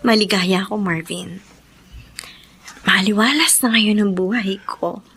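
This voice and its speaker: Filipino radio drama wife, tender and solemn, breathy voice with unhurried, hopeful delivery. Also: female voice, medium pitch, a bit bright. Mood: contented. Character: happy, devout young wife full of hope.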